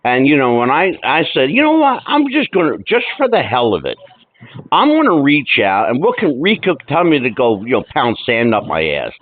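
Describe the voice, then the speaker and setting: A man's voice: medium pitch, wildly swooping pitch, normal speed. A sixty-year-old man hosting a podcast, chatting on the phone in an informal, emphatic, buddy-like way full of slang. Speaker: podcast host. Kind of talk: casual phone chat. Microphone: telephone. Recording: dry, tinny phone call.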